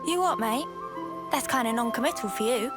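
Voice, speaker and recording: A woman voices a young female game fighter, blunt and easygoing. She talks in cheeky slang with a slightly high voice, over prominent music. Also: animated, fairly quick, lightly acted. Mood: puzzled, skeptical. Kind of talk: video game cutscene acting.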